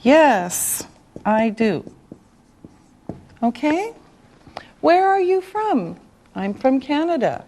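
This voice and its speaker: Very slow, high-voiced woman teacher. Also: big pitch swings, a little mellow, slight background noise. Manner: deliberate, carefully enunciated, instructional. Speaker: English teacher. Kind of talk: slow scripted beginner language lesson.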